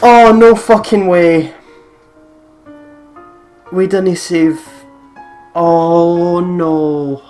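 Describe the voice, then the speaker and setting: A woman's voice: on the low side, fairly even intonation, very slow, long pauses, mellow, soft background music. A young woman hosting a let's play, chatting casually and expressively as she comments on the game, with plenty of swearing.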